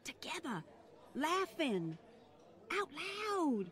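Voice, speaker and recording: A woman's voice: high voice, sing-song, very slow, fairly bright tone, heavily acted. A woman playing a genteel older society lady, fussy, flustered and condescending, in an old-time radio style drama. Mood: flustered, scandalized.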